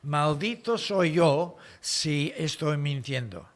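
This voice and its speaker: Slow-paced male preacher with a foreign accent. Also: a little high, lively intonation. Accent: foreign accent in Spanish. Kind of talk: expository sermon.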